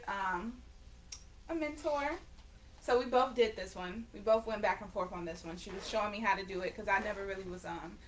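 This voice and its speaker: A woman's voice: on the high side, sharp tone, a little hiss or hum, poor audio. A woman of nineteen talking casually to her viewers, rambling and correcting herself as she goes. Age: late teens. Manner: rambling, self-correcting, conversational. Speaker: vlogger and painter. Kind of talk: casual chat to camera.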